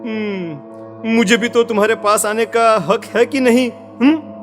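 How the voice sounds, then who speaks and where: A man voicing a creepy, possessed village husband in a horror story, wheedling and amorous, with halting words and questioning hums. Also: sits high. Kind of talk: horror audio story acting. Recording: long reverb with music behind.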